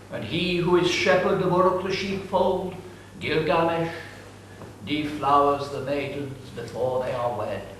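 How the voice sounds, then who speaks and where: High-voiced man declaiming from far off. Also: a bit bright. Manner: slow, grave, declaiming recitation. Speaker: reader. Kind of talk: dramatic reading of an ancient epic. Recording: echoey room, voice set back from mic with faint noise.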